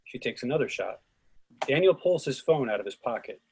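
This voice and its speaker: Narrator, male, mid-range and a little raspy, reading script action for a readers theatre. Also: medium pitch, expressive, fairly quick. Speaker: narrator reading stage directions.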